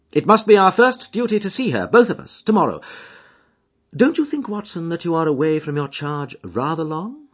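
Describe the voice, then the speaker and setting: A man's voice: fairly high pitch, breathy edge, dull audio, voice pushed up. A man voicing a keen, commanding detective who speaks in formal Victorian phrases, emphatic and urgent, in an audiobook. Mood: brisk, assured. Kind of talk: dramatised audiobook character dialogue.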